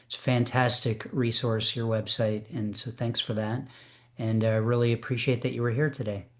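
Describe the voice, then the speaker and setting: Man, host, video call, flat even delivery, many fillers and restarts. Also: medium pitch, moderate pace. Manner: warm, hesitant, many fillers. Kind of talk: hosting an online poetry reading.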